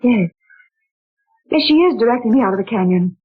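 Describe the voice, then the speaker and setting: An actress playing a genteel woman recounting a ghostly night in 1940s radio drama style, theatrical and breathy. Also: fairly high pitch, animated, a little fast, soft background music, natural voice. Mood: frightened. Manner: dramatic, exclaiming. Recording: tinny old radio broadcast.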